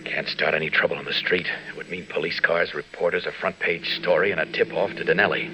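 An actor playing an earnest, decisive newspaper reporter hero in an old radio drama, polite but urgent. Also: male voice, deep voice, fairly even intonation, fast talker, close to an everyday voice, poor audio. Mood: urgent, determined. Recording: vintage radio recording.

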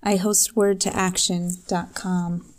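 A woman from New York State introducing herself and reading her own poem in a slow, measured, deliberate way. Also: fairly low pitch, flat delivery. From New York State, USA. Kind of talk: poetry reading.

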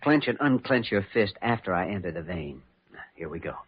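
Deep, husky earnest radio surgeon. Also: male voice, animated, normal speed. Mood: focused, clinical. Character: earnest, resolute young male hospital surgeon. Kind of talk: old-time radio drama acting. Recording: muffled old radio recording.

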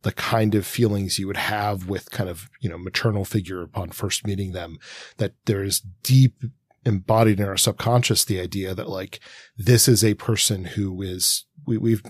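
A man co-hosting a literary podcast, musing aloud in a halting, conversational way and reworking his thoughts as he speaks. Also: on the low side, husky. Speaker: podcast co-host. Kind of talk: conversational literary discussion of a comic.

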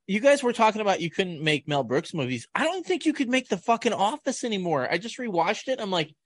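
A man's voice: high-pitched, big pitch swings, a little fast, no echo, clean studio sound. A man in his thirties chatting casually on a podcast, joking and swearing freely. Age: 30s. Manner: profane, joking banter.

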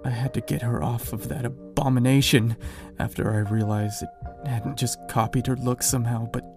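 A man's voice: mid-range voice, steady tone, moderate pace, over music, lightly acted. A man playing an anguished, foul-mouthed survivor of a zombie apocalypse in a horror audio drama. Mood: grim, resolute. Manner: grim, profane, anguished.

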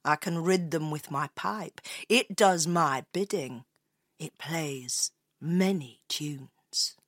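A woman voicing a calm, merry male piper from a fairy tale, measured and quietly confident. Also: low voice, no echo, studio quality, pitched below the performer's own voice. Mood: calm, sly. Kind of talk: storybook character voice.

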